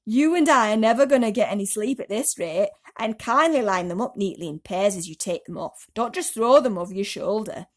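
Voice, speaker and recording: A loud, bossy centipede from a storybook, acted out by a woman. He is grumpy and impatient, barking out scolding orders at a forceful volume. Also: medium pitch, fairly quick, hardly any pauses, natural voice.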